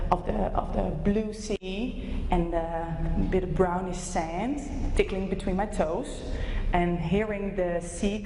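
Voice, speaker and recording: A woman's voice: mid-range voice, darkish tone. A woman from the Netherlands with a Dutch accent, a native Dutch speaker, giving an explanatory conference talk in simple phrases with practical examples. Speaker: clinical neuropsychologist giving a presentation. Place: conference hall, indoors. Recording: echoey hall with faint noise.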